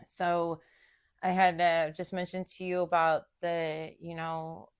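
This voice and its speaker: Low-voiced woman speaking haltingly. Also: level tone, smooth. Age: adult with a young son. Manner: halting, searching for words, many restarts. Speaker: daughter in recovery. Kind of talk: candid personal conversation.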